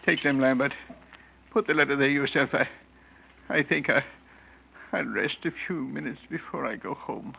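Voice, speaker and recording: A man's voice: medium pitch, moderate pace, vocal fry, pauses often, slightly rough. Indignant older businessman, vintage radio. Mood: worried, weary. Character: retired company owner, older man, indignant, easily shaken. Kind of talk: 1940s radio drama acting. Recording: dull vintage 1940s radio recording.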